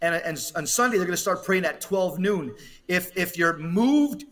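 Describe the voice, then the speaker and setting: Man, very high-pitched, bright forceful voice, religious podcast commentary, urgent, emphatic, preachy, repeats phrases. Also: animated, moderate pace. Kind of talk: impassioned religious exhortation.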